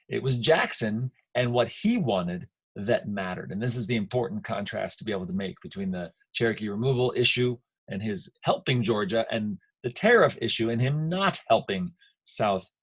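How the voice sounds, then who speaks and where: American guy teaching history, kinda low husky voice, informal and emphatic. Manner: conversational, emphatic, asks rhetorical checks.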